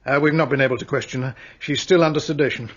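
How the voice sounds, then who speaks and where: A man's voice: medium pitch, fast talker, slightly rough, lightly acted. A man playing a courteous, official police inspector in a radio drama. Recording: dull studio audio play.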